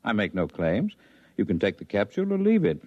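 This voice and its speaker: A man's voice: lowish voice, huge pitch swings, fairly quick, no room sound. A man playing a cool, evasive miracle healer, slyly brusque, in 1950s radio drama style. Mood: coolly evasive. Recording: muffled vintage 1950s radio recording.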